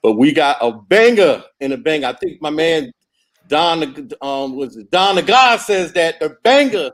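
A man's voice: a little high, big pitch swings, a little slow. Enthusiastic slangy male podcast host. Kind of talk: casual podcast chat.

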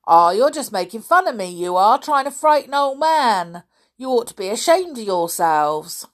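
A cantankerous old man from a children's storybook, as a woman reader puts him on. He is suspicious and scolding, with rustic turns of phrase. His pitch is pushed up and swings about as he grumbles. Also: big pitch swings, moderate pace. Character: grumpy, deaf old man, a suspicious caretaker.

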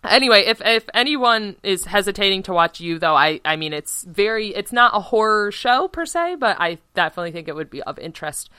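Woman podcast host, loud voice, bright and forceful, smooth clear tone, dry close sound, chatty with lots of fillers. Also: medium pitch, brisk, hardly any pauses, no room sound. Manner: animated, laughs often, many "like" fillers. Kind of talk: casual podcast chat.

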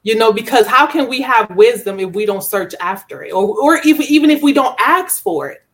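A woman's voice: fairly high pitch, expressive, a little fast, fairly bright tone. A young woman speaking as a guest author in a conversational, inspirational style, earnest and preacherly, repeating phrases for emphasis. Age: young adult.

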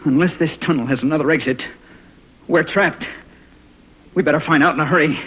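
An actor playing a brave, quick-thinking young American ace pilot who gives urgent, clipped commands in 1940s radio adventure style. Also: male voice, a little high, a bit bright, slightly rough. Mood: worried. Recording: noisy vintage 1940s radio recording.

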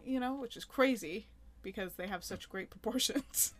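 A woman's voice: a little high, normal speed. A woman co-hosting a podcast, chatting casually and recapping a sitcom episode, acting out the characters' lines. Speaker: podcast co-host.

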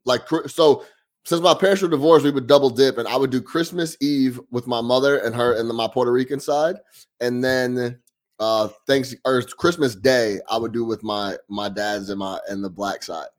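A man's voice: medium pitch, normal speed. A young man co-hosting a casual sports podcast, loose and slangy, laughing often. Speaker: podcast co-host.

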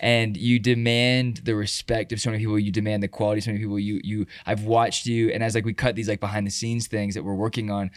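Effusive, informal male interviewer, lowish dry voice. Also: level tone, quick pace, few pauses, dry recording. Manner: effusive, informal, repeats himself as he builds questions. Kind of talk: casual chat.

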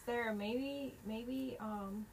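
A woman co-hosting a casual podcast, teasing her friend and tossing out quick jokey comebacks. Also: on the high side, steady tone, darkish tone, slight background noise. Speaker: podcast co-host. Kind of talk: casual chat.

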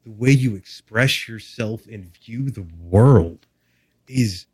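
A man's voice: lowish voice, takes it slowly, a little mellow, husky, no room sound. A man from Texas chatting casually as a podcast guest, with a candid, wry, casually profane way of talking. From Texas, United States. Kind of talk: casual interview chat.

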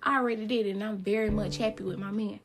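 A woman's voice: mid-range voice, fairly even intonation, fast. A thirty-year-old woman hosting her own podcast, talking casually and emphatically and repeating her points for effect. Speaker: podcast host. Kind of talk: casual solo podcast talk.

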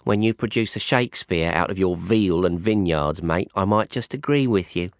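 A man's voice: low-pitched, normal speed, no echo, clean studio sound. A man voicing a bold, teasing young woman with a South London accent, speaking in an ironic, cheeky way.

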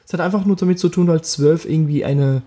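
Casual podcast co-host, man, slightly high, husky voice, rambling and jokey. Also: quick pace, warm tone. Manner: rambling, jokey, trails off mid-sentence. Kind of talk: casual chat.

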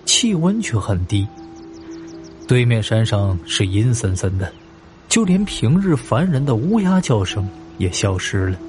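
Expressive male mystery narrator. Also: lowish voice. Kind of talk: dramatic scripted narration of a mystery story.

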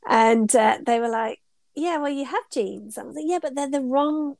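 A middle-aged woman with a British accent talks in a fairly high voice, a bit congested from a cold. She tells chatty, meandering stories with plenty of ums, and the recording is close and dry. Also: normal speed, vocal fry, darkish tone, no room sound. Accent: British English. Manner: chatty, rambling storyteller with frequent ums and laughs. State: stuffed up with a cold. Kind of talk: casual conversational interview.

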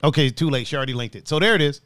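A man hosting a relaxed podcast, his voice sitting a little high as he jokes around in slang. The sound is close and dry, with no room around it. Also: racing, smooth, no echo. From another country, raised partly on the East Coast. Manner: animated, laughing, slangy and playful. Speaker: podcast host. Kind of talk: casual chat.

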